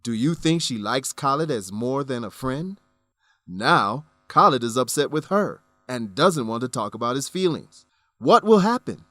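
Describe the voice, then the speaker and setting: A man's voice: mid-range voice, expressive, measured pace, sharp tone, no echo, faint music behind. A man narrating a scripted radio drama recap in a dramatic way, putting questions to listeners.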